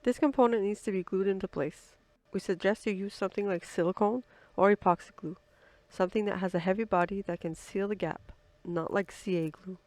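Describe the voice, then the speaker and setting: A woman's voice: mid-range voice, fairly even intonation, brisk, darkish tone, dry recording. Woman's dry, close instructional voice-over. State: sick with flu, slightly odd voice. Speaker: co-presenter of build tutorial. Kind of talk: scripted instructional narration.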